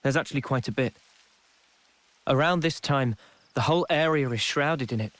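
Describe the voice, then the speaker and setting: A man playing an earnest, resolute young squad leader in a video game, measured and thoughtful in delivery. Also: mid-range voice, fairly bright tone, natural voice. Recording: music behind.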